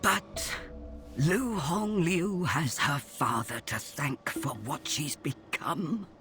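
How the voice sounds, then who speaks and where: A woman's voice: sits low, expressive, slow pace, a bit bright, soft background music, heavily acted. An actress playing a protective, devout village mother figure in a video game, fussing and scolding. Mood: gossiping, grim. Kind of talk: video game cutscene acting.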